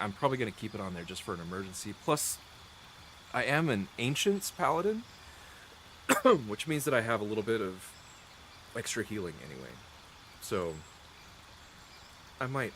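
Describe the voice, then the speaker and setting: A man's voice: on the low side, a bit bright, a little hiss or hum. A man explaining game spells as he plays, rambling and correcting himself, with a dry throat and frequent coughs, close to a desk mic. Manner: chatty, rambling, restarts and self-corrections, thinks aloud. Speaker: gameplay streamer. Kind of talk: explaining game mechanics while playing.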